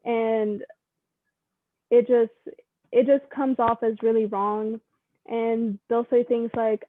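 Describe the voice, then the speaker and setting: A woman's voice: a little high, no room sound. A young woman from Mexico, speaking earnestly in a casual interview with lots of "um" and "like". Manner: earnest, many fillers like "um" and "like". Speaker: guest. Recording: dry tinny phone-like sound.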